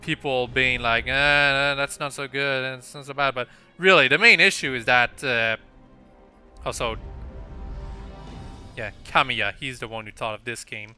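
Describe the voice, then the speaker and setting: A man's voice: mid-range voice, unhurried, pushed and bright, faint music behind. A young man giving casual video game playthrough commentary in a hesitant, rambling way, often correcting himself.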